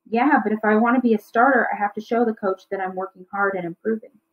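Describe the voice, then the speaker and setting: A woman's voice: mid-range voice, fast, narrow, tinny audio, natural voice. A woman voicing a driven, earnest teenage brother in a storybook reading. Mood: determined. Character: hard-working, driven teenage brother, a freshman athlete.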